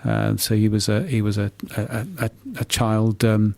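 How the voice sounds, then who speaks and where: A middle-aged man answering in a radio interview, halting and often repeating words as he explains. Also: fairly low pitch, level tone, gravelly, a little mellow, dry recording. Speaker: author and poet being interviewed.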